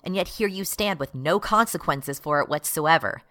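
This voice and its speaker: A woman's voice: low voice, moderate pace, fairly bright tone, no echo. A woman acting as an exasperated, righteous progressive activist in a satirical radio drama. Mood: incredulous.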